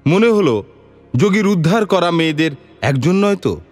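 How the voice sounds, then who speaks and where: A man narrating a scripted audio story in a measured, literary and expressive storytelling manner. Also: high voice, soft background music.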